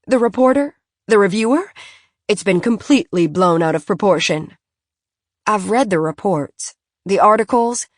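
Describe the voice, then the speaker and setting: A woman's voice: medium pitch, dry recording, studio quality, close to an everyday voice. A woman reading an audiobook, voicing a genteel, fussy mother who talks in a prim, gushing and insistent way. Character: genteel fussy francophile mother, high-strung.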